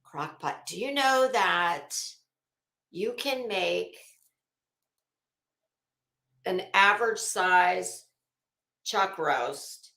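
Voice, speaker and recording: Bright-voiced, chatty woman in an echoey room. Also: fairly low pitch. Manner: chatty, teasing banter, salty language. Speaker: livestream host. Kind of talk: casual livestream chat, greeting viewers.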